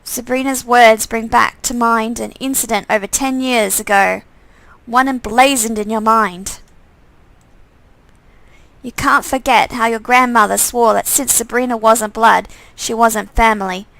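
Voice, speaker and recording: A woman's voice: fairly high pitch, steady tone, a little slow, vocal fry, a bit bright, dry recording, poor audio. A woman streamer reading a visual novel game aloud, stumbling over lines and re-reading them with asides to herself.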